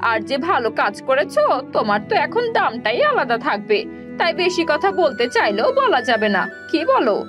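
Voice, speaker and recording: A high, animated village wife from a cartoon folk tale. She nags and teases with a sharp tongue. Light music sits underneath. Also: a little fast. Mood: teasing approval. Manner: scolding, teasing. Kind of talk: Bengali cartoon fairy-tale acting.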